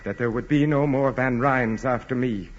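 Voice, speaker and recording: An actor playing a wealthy, commanding aristocrat, formal, grave and insistent, in 1940s radio drama style. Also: male voice, mid-range voice, moderate pace, lightly acted. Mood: brooding. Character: wealthy aristocratic landowner, commanding, brooding, intense suitor. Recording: muffled, noisy old radio broadcast.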